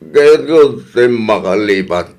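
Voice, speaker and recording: A man's voice: fairly low pitch, animated, slow pace, darkish tone. An actor playing a lovesick jilted man who cannot move on, in a comedy radio drama. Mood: dejected.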